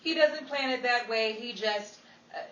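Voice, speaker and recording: Woman acting a bright, sardonic starlet, echoey radio. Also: a little high, unhurried. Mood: teasing. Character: sardonic, exasperated Hollywood starlet, young woman. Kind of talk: 1940s old-time radio comedy acting. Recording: echoey, distant mic with faint crowd and noise.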